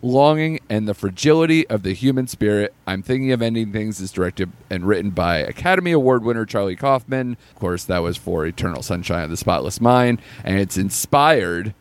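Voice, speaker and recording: A man's voice: lowish voice, lively intonation, fairly quick, few pauses. A man hosting a casual podcast about a new film, laughing often as he reads out the plot. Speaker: podcast host. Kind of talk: casual film review chat.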